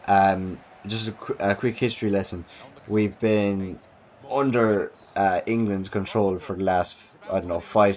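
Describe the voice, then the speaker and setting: Young Irish guy vlogger, low-pitched, rambling delivery, dull lo-fi recording. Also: darkish tone. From Ireland. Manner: rambling, repeats himself, frequent restarts and fillers. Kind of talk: casual talk to camera. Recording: dull lo-fi sound.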